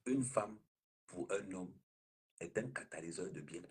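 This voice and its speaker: Husky man, flowery sweet talk. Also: unhurried. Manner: flowery, sweet-talking, bursts of high laughter. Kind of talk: casual live-stream chat.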